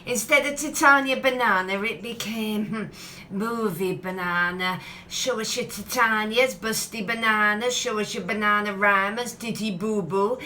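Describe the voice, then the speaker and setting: Woman playing a practical milkshake bar owner, medium pitch. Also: measured pace, sharp tone, slight background noise. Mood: awkwardly polite. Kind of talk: radio comedy acting.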